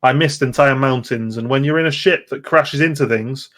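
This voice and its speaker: A man's voice: mid-range voice, normal speed, fairly bright tone. A man hosting a casual gaming podcast, chatty and digressive, often interrupting himself as he goes. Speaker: podcast host.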